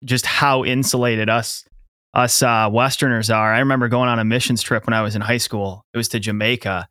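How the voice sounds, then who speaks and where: A man's voice: mid-range voice, fairly even intonation, bright, forceful tone, no echo, studio quality. A man co-hosting a podcast, reading sponsor ads with profanity and chatting casually with guests. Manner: brash, profane, fast ad-read delivery. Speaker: podcast co-host. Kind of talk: casual podcast chat with ad reads.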